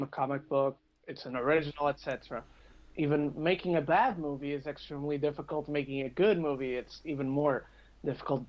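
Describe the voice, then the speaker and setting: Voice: mid-range voice, dull audio. A podcast guest in casual discussion, explaining step by step and repeating phrases for emphasis.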